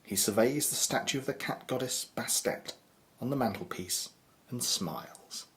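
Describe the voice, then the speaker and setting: A man's voice: medium pitch, normal speed, a bit bright. A man with a British accent narrating a scripted audio drama in a theatrical, ominous storytelling manner.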